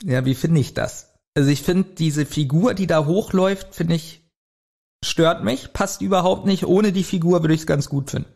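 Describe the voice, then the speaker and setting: Higher-voiced man, chatting informally. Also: normal speed, gravelly, darkish tone, slightly rough. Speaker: podcast co-host. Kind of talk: casual chat reviewing an audio drama. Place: remote recording at a computer.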